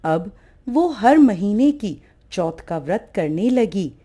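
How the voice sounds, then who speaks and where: Female folk-tale narrator, soft-toned and unhurried, for devotional storytelling. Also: medium pitch, big pitch swings, husky.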